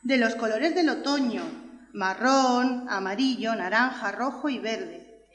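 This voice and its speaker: A woman's voice: a little high, measured pace, a bit bright. A woman playing a cheerful young schoolchild in a scripted audio story for children. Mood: cheerful, eager. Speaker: preschool teacher. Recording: echoey reverberant room.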